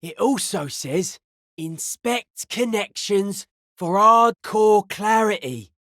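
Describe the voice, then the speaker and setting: An actor voicing a young, earnest, easily spooked counterculture club kid in a video game, with slangy, deadpan drawl. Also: male voice, high voice, slow pace, dry recording, clean studio sound, full character voice. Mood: earnest, urgent.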